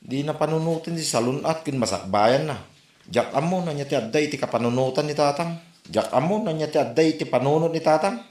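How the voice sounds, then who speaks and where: A man's voice: fairly high pitch, animated, brisk, a little mellow, natural voice. A man playing an exasperated, reproachful grown son with an Ilocano accent in a comedy drama. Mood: worried. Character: exasperated grown son scolding his drinking father. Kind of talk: Ilocano comedy radio-style drama acting. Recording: roomy echo.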